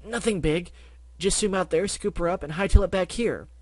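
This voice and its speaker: A man voicing a brash, sporty pegasus mare who talks quick and cocky. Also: lowish voice, darkish tone, dry recording, voice pushed up, lightly acted.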